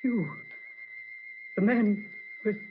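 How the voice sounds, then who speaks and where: An actress in a 1940s radio horror drama playing a frightened young nurse, halting, breathless and dramatic. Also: female voice, medium pitch. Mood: shocked disbelief. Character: frightened young nurse haunted by a dead man. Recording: muffled, noisy old radio recording.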